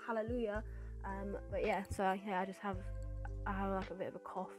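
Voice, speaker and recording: Young female vlogger, mid pitch, slightly raspy and stuffy, chatty voice-over with clear music under it. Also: level tone, brisk. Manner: chatty, rambling with fillers and restarts. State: congested from a cold. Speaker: artist vlogger. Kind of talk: casual voice-over chat.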